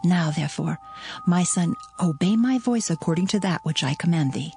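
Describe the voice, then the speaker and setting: A woman's voice: on the low side, expressive, normal speed, warm tone, soft background music. A woman playing a resolute, scheming mother in a dramatized Bible drama, giving urgent instructions in archaic scripture language. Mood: urgent, commanding.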